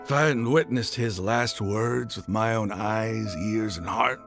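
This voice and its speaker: A man's voice: on the low side, a little slow. A man playing an embittered desert mercenary leader in a video game, speaking emphatically and with passion. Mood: anguished, bitter. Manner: emphatic, impassioned. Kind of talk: dramatic video game voice acting. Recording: background music under the voice.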